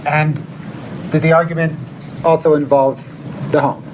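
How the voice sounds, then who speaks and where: Higher-voiced male lawyer, noisy courtroom audio. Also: level tone, normal speed. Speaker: lawyer questioning a witness. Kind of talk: formal courtroom questioning.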